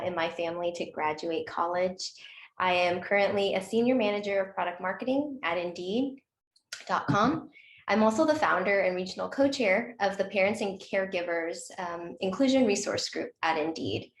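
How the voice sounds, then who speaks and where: A woman's voice: fairly low pitch, roomy sound. A woman from Texas introducing herself on an online panel in an upbeat, lilting way. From Texas, United States. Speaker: panelist, marketing manager.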